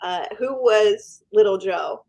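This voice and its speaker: A woman hosting a podcast interview, welcoming her guest with an upbeat, deliberate delivery. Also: medium pitch. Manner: warm, upbeat, slow deliberate delivery in intro. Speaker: podcast host.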